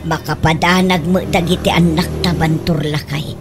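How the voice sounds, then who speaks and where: A woman's voice: low voice, steady tone, normal speed, over music, close to an everyday voice. A woman playing a chatty, fussing mother in a comedic Ilocano radio drama. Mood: fussing, nagging.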